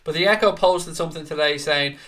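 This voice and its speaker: A man's voice: on the high side, a little fast, fairly bright tone. A young Irish man hosting a casual live stream, chatting with viewers in an upbeat, talkative way with the odd swear. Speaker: host.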